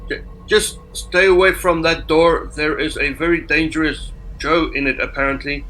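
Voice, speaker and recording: A man's voice: on the high side, steady tone, moderate pace, pushed and bright, soft background music, low-quality recording. A man voicing a wary, hesitant crewmate in a sci-fi tabletop game, stammering a little as he speaks.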